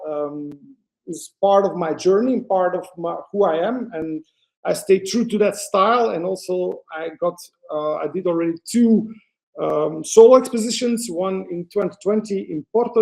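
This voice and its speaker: A middle-aged Belgian man speaks English as a second language, with a Dutch accent. His voice sits a little high and has a gravelly, creaky edge. He presents to an online audience through a computer mic, hesitating and restarting his sentences often. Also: darkish tone, poor audio. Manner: hesitant, frequent fillers and restarts, non-native phrasing. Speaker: photographer presenting a webinar.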